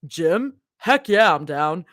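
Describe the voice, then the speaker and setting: A woman voicing a cocky, gym-loving young man in a gruff, lowered voice while reading a story aloud. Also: animated, slow pace, a bit bright, no echo, clean studio sound. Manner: gruff low put-on voice.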